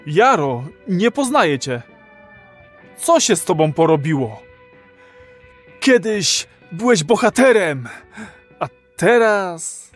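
A persuasive rebel in an audio drama, high-voiced and very animated. He talks slowly and emphatically, urging his friend to act. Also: faint music behind, heavily acted. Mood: challenging.

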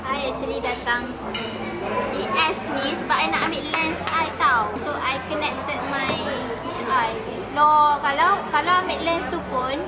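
A woman's voice: high-pitched, moderate pace. A woman in her twenties with a Malaysian accent chatting casually to her vlog camera, switching between Malay and English. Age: 20s. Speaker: vlogger. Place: busy mall restaurant and shops. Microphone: camera at arm's length or on table. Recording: loud music and crowd chatter behind.

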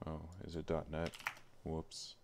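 A man's voice: a bass voice, monotone, unhurried. A man live streaming, casually talking to himself in short remarks as he works at a computer. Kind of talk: casual muttering to self while video editing. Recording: clear background noise, typing and clicking. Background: keyboard typing and mouse clicks.

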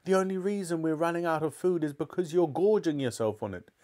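A man's voice: fairly high pitch, a little fast, warm tone, voice pushed up, natural voice. A man voicing a distraught, fierce mother in a story reading, emphatic and accusing. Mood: furious accusing. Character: distraught adult mother, fierce then broken.

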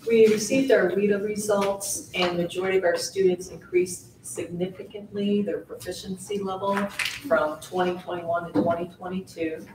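A woman giving the assistant superintendent's report at a school board meeting, in a measured way with some fillers. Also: mid-range voice, steady tone, noisy background. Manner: measured, reads out figures with fillers. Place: large meeting room with long tables. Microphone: table mic from far off. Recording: echoey boardroom picked up from far off.

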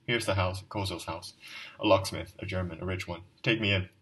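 Ragged drunk, middle-aged man, fervent and pleading, as a male reader puts him on; lowish voice, mic set back. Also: fairly even intonation, fairly quick, a bit bright, recorded from a distance. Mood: anxious. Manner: fervent, rambling confession. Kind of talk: audiobook dialogue reading.

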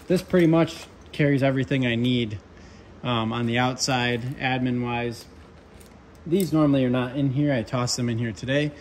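A young man, an HVAC controls tech, chatting casually through his tool bag at a workbench, relaxed with lots of ums and asides. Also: mid-range voice, moderate pace, slight background noise. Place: workshop with pegboard and workbench.

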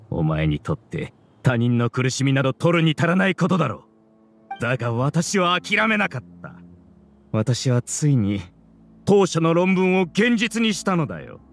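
A man voicing an arrogant scholar villain in a video game, grandiose and lecturing in a theatrical way. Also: mid-range voice. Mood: bitter, haughty. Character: arrogant male scholar villain, mastermind of a hive mind. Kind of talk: Japanese video game voice acting.

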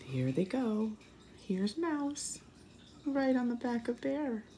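A woman reading a picture book aloud expressively for young children, with pauses, asides and questions to her listeners. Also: a little high, sing-song, dark tone, slight background noise. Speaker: children's story reader and teacher.

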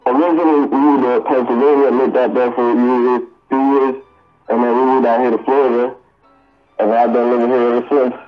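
A man's voice: medium pitch, flat delivery, brisk, phone-quality sound, faint music behind. A man from Cuba, a rapper interviewed over a call, answering in brief, laid-back, guarded lines full of slang. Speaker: guest rapper. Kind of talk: casual interview.

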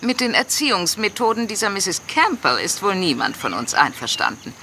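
An upright, fond older country woman in a radio drama. Her voice is bright and forceful, with faint chirping outdoor ambience behind it. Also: mid-range voice, big pitch swings, normal speed, slight background noise. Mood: fond, earnest.

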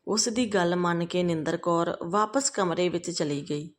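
A woman's voice: fairly low pitch, moderate pace. A woman reading a scripted family moral story as a storyteller.

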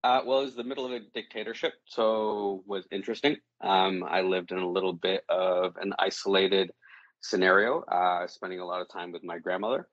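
A man's voice: low voice, steady tone, normal speed, a bit bright, dry recording, muffled recording. A man from Chile, a guest answering interview questions thoughtfully, with frequent fillers and repeated words. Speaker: guest, creative director.

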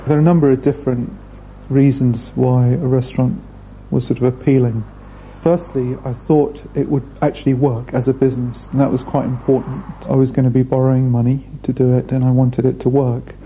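A man, speaking thoughtfully in a documentary interview with measured pauses. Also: mid-range voice, flat delivery, dark tone, slight background noise, low-quality recording. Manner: measured, thoughtful, hesitant fillers. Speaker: restaurant founder. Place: indoor room.

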